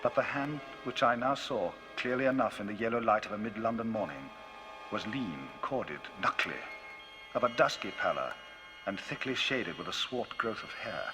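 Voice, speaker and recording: A man giving a dramatic, theatrical reading of a classic novel as the narrator of a vinyl audiobook, his pitch and loudness rising and falling widely. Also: lively intonation, moderate pace. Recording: vinyl audiobook with music bed. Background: music.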